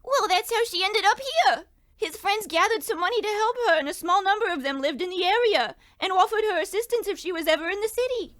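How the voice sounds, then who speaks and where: Man putting on a very high-voiced demure young lady. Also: expressive, brisk, bright, forceful tone, full character voice. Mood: coy, confiding. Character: demure young woman client, grieving, primly gossipy. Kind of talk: 1940s radio detective parody acting.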